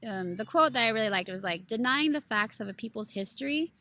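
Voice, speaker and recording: A woman's voice: on the high side, expressive, brisk, sharp tone. A woman co-hosting a casual book club podcast, talking earnestly and rambling with plenty of "like" and "you know".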